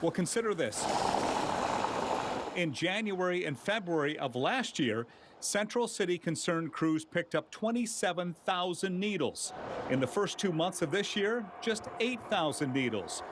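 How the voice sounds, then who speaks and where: A male American reporter delivers scripted TV news narration in a slightly high voice. Clear ambient noise runs behind him. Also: animated, unhurried.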